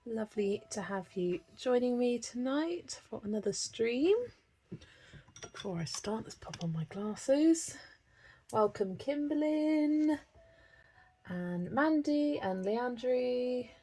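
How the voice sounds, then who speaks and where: A woman with a slightly hoarse voice hosts a live stream, greeting viewers by name and chatting casually while she colours, often laughing. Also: on the high side, lively intonation, takes it slowly, dark tone. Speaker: livestream host.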